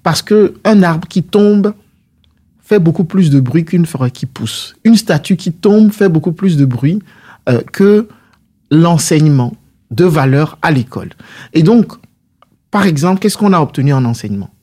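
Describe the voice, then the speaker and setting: A man's voice: fairly high pitch, lively intonation, a little slow, mellow. A man answering interview questions as a political guest, in a didactic, structured and rhetorical way.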